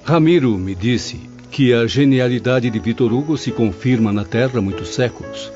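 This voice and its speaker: A man's voice: mid-range voice, normal speed, lightly acted. A Brazilian man playing a composed, learned spirit professor who speaks formally in an audiobook drama. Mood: interested. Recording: slightly dull audio over music.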